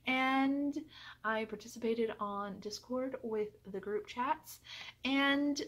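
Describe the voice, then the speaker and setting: A woman's voice: fairly high pitch, slow, a bit bright. A woman giving a casual book review to viewers in an earnest, chatty, emphatic way.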